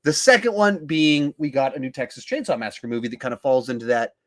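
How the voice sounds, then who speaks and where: A man's voice: mid-range voice, animated, quick pace. A man in his forties hosting a podcast, talking casually and explaining his points with emphasis on key words. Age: 40s. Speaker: podcast host. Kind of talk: casual film discussion podcast.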